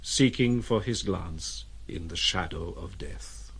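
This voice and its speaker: A man giving scripted literary narration for an audio drama, with a husky voice. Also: low voice, takes it slowly, fairly bright tone, exaggerated voice.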